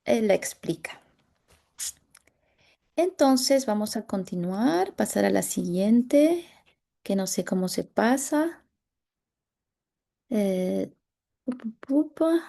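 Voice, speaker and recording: A Latin American woman, a dermatologist, presenting a medical case over a video call in a halting way, pausing often to search for words. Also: medium pitch, animated, vocal fry, mellow. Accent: Latin American Spanish.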